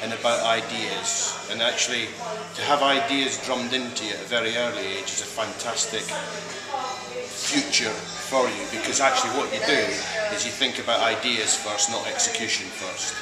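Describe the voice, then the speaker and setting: A Scottish man in his fifties, chatting in an interview about his career, with lots of fillers and pauses. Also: medium pitch, steady tone, echoey room, over loud music, low-quality recording. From Scotland. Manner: hesitant, many fillers, chatty. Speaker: interviewee, creative director. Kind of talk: casual interview.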